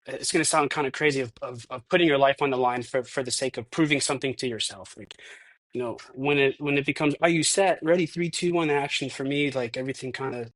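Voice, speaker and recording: A man's voice: mid-range voice, fast talker, fairly bright tone. A man of thirty-one, a stuntman guest in a casual interview, talking reflectively with many restarts. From United States. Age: 30s.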